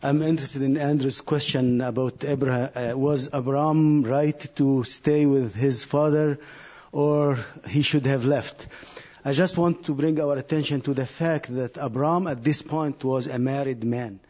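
Young male participant, mellow and earnest, lo-fi sound. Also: mid-range voice, steady tone. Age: young adult. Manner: earnest, thinking aloud with pauses. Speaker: Bible study participant. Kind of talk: earnest discussion. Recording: muffled lo-fi recording.

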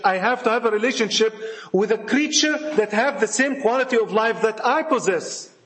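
High-voiced Egyptian-accented preacher in an echoey hall. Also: male voice, fairly bright tone. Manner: emphatic, repetitive, rhetorical questions to the congregation. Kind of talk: sermon. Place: church hall with a congregation. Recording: echoey room.

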